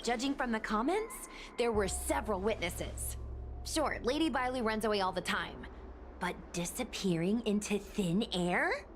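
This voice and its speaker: A woman's voice: medium pitch, normal speed, natural voice. A woman voicing a bubbly, chatty young streamer. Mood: animated. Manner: upbeat, chatty, excitable. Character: bubbly young woman street performer turned online streamer. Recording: game cutscene with music behind.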